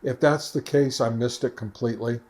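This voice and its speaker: A man's voice: medium pitch, steady tone, moderate pace, darkish tone. A man hosting a ham radio video, chatting casually and playfully from his home radio shack, rambling with plenty of ums and uhs. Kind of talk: casual vlog chat.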